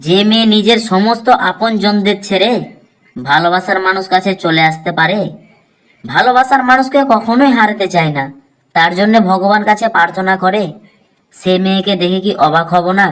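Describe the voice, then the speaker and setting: A man's voice: sits high, moderate pace, a bit bright, poor audio. A man playing a cautious, devoted young village man in love, speaking earnestly in a cartoon drama. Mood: tender, admiring.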